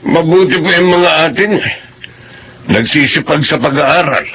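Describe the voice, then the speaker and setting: Gravelly, disapproving Filipino uncle. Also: male voice, a little high, animated, moderate pace, bright, forceful tone, full character voice, rough recording. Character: disapproving middle-aged uncle, a mother's elder brother. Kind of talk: Filipino radio drama acting.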